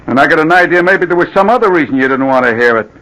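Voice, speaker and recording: An actor in an old-time radio drama playing a blunt, suspicious city bartender who talks in slang. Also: male voice, on the high side, lively intonation, racing, bright, forceful tone, low-quality recording. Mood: threatening.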